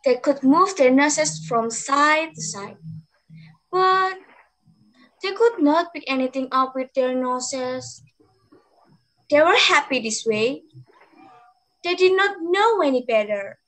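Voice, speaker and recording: Slow, high-pitched young woman storyteller. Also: lively intonation, fairly bright tone, low-quality recording. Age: young adult. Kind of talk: scripted story narration. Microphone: video call microphone.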